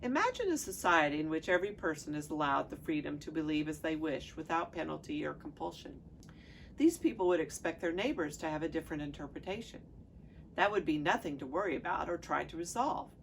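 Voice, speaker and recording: A woman pastor reading aloud from a devotional book in a measured, deliberate way. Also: low-pitched, expressive, vocal fry, a little hiss or hum.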